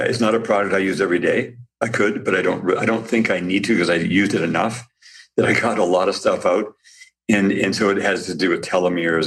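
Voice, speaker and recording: Brief: male podcast guest, deep and gravelly, a bit raspy, rambling storyteller. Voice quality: slightly raspy. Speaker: guest, supplement business owner. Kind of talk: casual podcast conversation.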